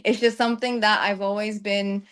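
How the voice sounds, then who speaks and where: A woman's voice: mid-range voice, level tone, normal speed, clear, resonant tone. A young woman who grew up in the Netherlands, a travel content creator answering interview questions thoughtfully, with many ums and light laughs. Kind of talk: conversational interview.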